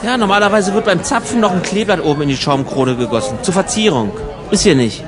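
A man's voice: high voice, lively intonation, fast talker, music in the background, crowd noise. A man in casual banter, talking in a dry, grumbling, argumentative manner. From Germany. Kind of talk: casual banter in an audio collage.

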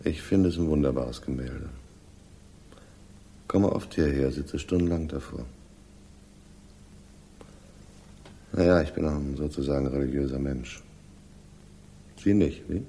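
An actor playing a self-assured, pious police inspector in a radio crime drama, measured and condescending in his authority. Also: extremely low pitch, gravelly, mellow, breathy edge, heavily acted. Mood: musing. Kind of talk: 1960s German radio crime drama acting.

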